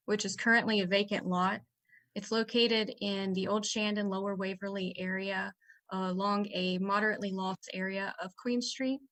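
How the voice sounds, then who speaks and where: A woman's voice: medium pitch, fairly bright tone, dry recording. A woman on a commission staff, giving a formal case presentation as if read from notes.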